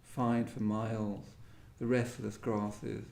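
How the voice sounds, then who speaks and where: Man, creaky voice, dark mellow tone, musing literary interviewer, appreciative, halting, echoey room. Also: on the low side, flat delivery, slight background noise. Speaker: interviewer at poetry event. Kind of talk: reflective literary conversation. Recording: roomy live event sound.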